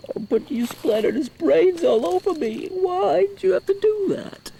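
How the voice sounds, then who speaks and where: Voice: very high-pitched, expressive, unhurried, gravelly, mellow, heavily acted. An amateur performer playing a horrified, disgusted lone survivor in a homemade audio drama, wailing melodramatically. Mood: appalled protest. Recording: homemade 1990s tape, faint background noise.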